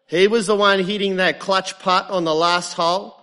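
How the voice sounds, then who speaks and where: A man's voice: sits high, steady tone, moderate pace, pushed and bright, clear, resonant tone. A man in his thirties with an Australian accent, preaching a sermon with wry self-mocking humour before turning earnest.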